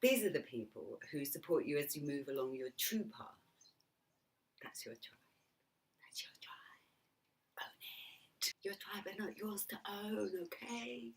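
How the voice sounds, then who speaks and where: A woman's voice: deep voice, a bit bright, far from the mic. A middle-aged woman vlogging in a chatty, reflective monologue, laughing often and dropping into whispered asides. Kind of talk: reflective casual monologue to camera.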